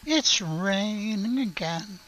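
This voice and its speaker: A woman's voice: mid-range voice, animated, slow pace, a crowd in the background. A woman acting as game master, narrating a tabletop role-playing game in an easygoing, conversational way.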